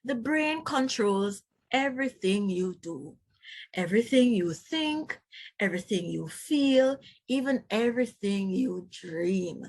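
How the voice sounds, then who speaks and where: A woman reads to young children as their teacher. Her pace is leisurely and her medium-pitched voice has a husky edge. She stresses key words expressively and speaks directly to her listeners with explanatory asides. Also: lively intonation, slow. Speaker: children's read-aloud teacher. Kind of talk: read-aloud storytelling with explanatory asides to children.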